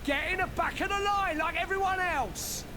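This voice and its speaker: Very high, agitated townsman. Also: moderate pace, noisy background. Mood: indignant. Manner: agitated, complaining. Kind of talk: video game dialogue.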